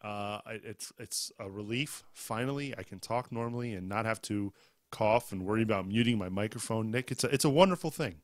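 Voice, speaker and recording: A man's voice: lowish voice, brisk, no echo. A man co-hosting a casual tech news podcast, reading headlines in a conversational way with some fillers, just over a cold. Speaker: podcast co-host.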